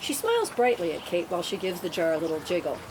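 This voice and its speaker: Low-voiced woman narrating, noisy recording. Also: sing-song, quick pace. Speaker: narrator reading stage directions. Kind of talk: scripted narration at a table read. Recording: clearly noisy room recording.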